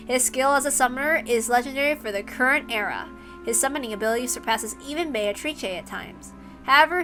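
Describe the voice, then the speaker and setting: A woman's voice: a little high, fairly bright tone, low-quality recording. A woman streaming a read-aloud of a visual novel, informal in the way she reads and comments. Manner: chatty, informal between passages. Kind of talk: read-aloud narration with casual asides. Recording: music behind the voice.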